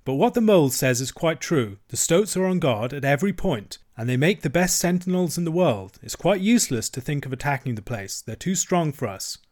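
A man voicing a stern, fatherly old badger in a storybook, speaking in a measured, weighty way. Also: on the high side, animated, a little mellow, close to an everyday voice. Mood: kindly firm.

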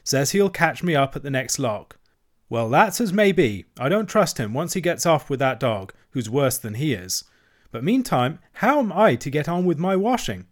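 A hearty middle-aged barge woman from a storybook, as a male narrator puts her on. Her voice is raised and lively, polite on the surface and quietly sly underneath. Warmly chatty and steady. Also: fairly high pitch, normal speed, voice pushed up.

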